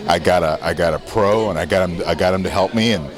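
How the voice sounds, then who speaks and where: A man's voice: low voice, fast. A man talking casually at a premiere, rambling and self-deprecating. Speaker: actor guest. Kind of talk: casual carpet interview. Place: outdoor premiere carpet at a venue. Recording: busy crowd behind.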